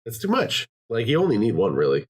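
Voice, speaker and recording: A man hosting a casual podcast chat, telling jokey stories in an animated way. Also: mid-range voice, fast. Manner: animated, joking storyteller. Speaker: podcast host.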